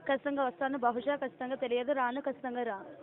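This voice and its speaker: A woman's voice: sits high, level tone, racing. A woman working as a customer care caller, reading a scripted survey formally and mechanically on a muffled phone recording. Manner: robotic, formal, rote reading of options. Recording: muffled, band-limited phone call recording.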